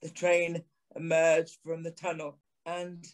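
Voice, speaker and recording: A man's voice: a little high, monotone, noisy background. An actor playing a haunted former journalist turned signalman, grave, anguished and intense in a dramatic audio drama narration. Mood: grave, regretful. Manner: slow, deliberate, intense.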